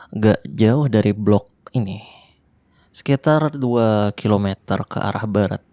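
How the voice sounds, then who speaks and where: A man voicing a lonely young male survivor of a zombie apocalypse in an ASMR roleplay, talking softly and intimately in casual Jakarta slang, close to the microphone. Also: fairly low pitch, no room sound. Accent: Jakarta colloquial Indonesian.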